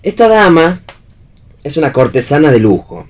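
A man's voice: fairly high pitch, sing-song, moderate pace. A man with an Argentine accent playing a wry, affectionate young husband in a read-aloud stage play. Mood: bantering, explaining.

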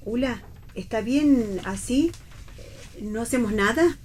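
A woman's voice: a little high, slow pace, darkish tone. Flora, a chatty, naive Argentine mother. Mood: puzzled. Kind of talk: read radio theatre.

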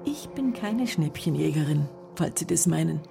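A sly Bavarian widow in a radio play. The voice sits low with a lot of fry, and gives nothing away, staying dry and defiant. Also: female voice, animated, normal speed, music in the background, exaggerated voice. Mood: cool defiance. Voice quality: creaky, low-pitched. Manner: dry, evasive, unflustered. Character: cool, defiant widow under suspicion, sly and unrepentant. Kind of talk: naturalistic radio drama dialogue.

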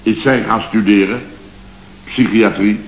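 A man's voice: on the low side, fairly even intonation, measured pace, lightly acted. Man acting a sardonic boss in a roomy recording. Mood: sardonic storytelling. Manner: sardonic, repeats words in emphatic bursts. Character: exasperated, wisecracking male boss of a building firm. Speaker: boss of a building contractor's firm. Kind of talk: radio comedy acting. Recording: roomy, dull, hissy old radio recording.

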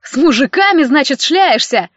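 A shrill, high-voiced ex-husband in an audiobook, acted out by a woman, drunk and hysterical. He whines and rants accusingly, full of spite, on a dry, slightly muffled recording. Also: expressive, normal speed, fairly bright tone, no echo. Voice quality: shrill, squealing. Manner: ranting, accusing in outbursts.

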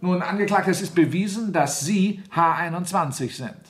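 An actor playing a stern, sardonic general who presides as military judge in a German radio play, commanding and formal. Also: male voice, high voice, normal speed, natural voice. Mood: interrogating.

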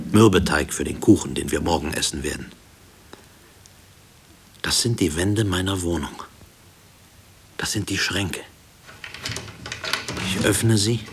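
An actor playing a haunted middle-aged former prisoner, speaking a hushed, deliberate, brooding radio play monologue. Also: male voice, low voice, creaky, noisy background, heavily acted. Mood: uneasy, remembering. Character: haunted male former prison inmate, brooding family man. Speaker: narrator and lead character of a radio play. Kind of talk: brooding first-person radio drama monologue.

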